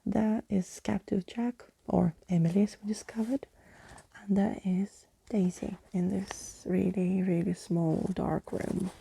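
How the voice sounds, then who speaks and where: A woman reading a children's story aloud in a soft ASMR style, often dropping into whispers. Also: mid-range voice, steady tone, a little slow, vocal fry, slightly rough.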